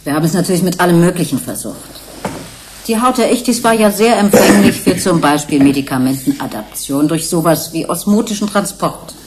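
A lowish female voice with very lively intonation , an actress as a research scientist. She is frank and explanatory, stressing her points in radio-play style. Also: a little fast, very noisy, natural voice. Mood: explaining. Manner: explanatory, emphatic. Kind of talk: German radio play acting.

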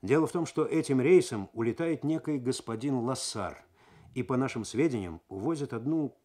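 A man voicing a brisk, gruff senior police detective in a businesslike, low-key way. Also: expressive, dark tone, natural voice.

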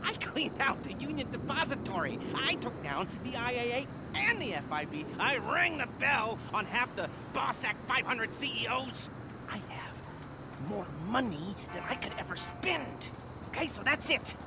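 An actor playing a grumpy, sarcastic middle-aged hacker who has retired from heists. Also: male voice, very high-pitched, very animated, very noisy, heavily acted. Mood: irritated. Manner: whiny, sarcastic, quick to complain.

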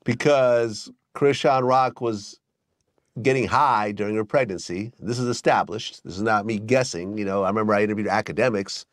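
A man's voice: fairly low pitch, moderate pace, no room sound. A man in his thirties in a small studio, talking through celebrity gossip in a matter-of-fact way. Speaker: podcast host. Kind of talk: gossip commentary in a podcast.

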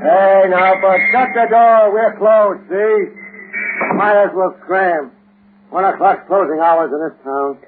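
An actor playing a brusque, uneasy, slangy barkeep in an old-time radio drama. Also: male voice, high voice, steady tone, takes it slowly, noisy background. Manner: slangy, stammering when nervous. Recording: muffled old radio recording.

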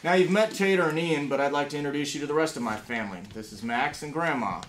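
A man's voice: on the high side, quick pace, a bit bright, close to an everyday voice. A man voicing a folksy, lecherous, menacing backwoods gang leader in a script table read. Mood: oily, coaxing. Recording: echoey room, distant mic, noise.

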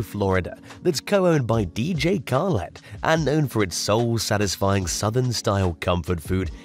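A man narrating a scripted restaurant countdown in an even, promotional read with odd stresses. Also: fairly low pitch. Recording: voice-over with faint music.

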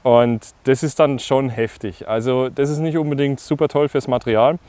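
A German paragliding instructor in his thirties talks to viewers in an easygoing, rambling way, full of little fillers and direct asides. His voice sits at an ordinary middle pitch. He is miked with a lapel clip out in an open meadow, and the sound is dry and close. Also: moderate pace, no echo. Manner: relaxed, chatty, frequent fillers, addresses viewers directly. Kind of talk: casual tips to camera. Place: grassy meadow outdoors, hills behind. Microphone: lapel mic.

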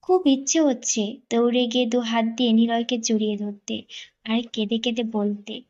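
A woman's voice: a little high, fairly even intonation, normal speed, darkish tone, dull audio. A woman narrator reading a scripted romantic story.

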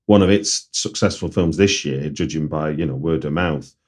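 Podcast host, male, Manchester accent, low raspy voice, relaxed conversational delivery. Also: normal speed. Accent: Mancunian. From Manchester, England. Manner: conversational, frequent backchannel murmurs. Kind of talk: casual interview chat.

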